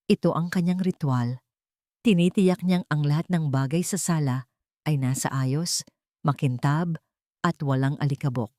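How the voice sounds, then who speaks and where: A woman's voice: low voice, mellow, dry recording, clean studio sound. A woman with a Filipino accent reading a scripted, dramatic suspense story as its narrator.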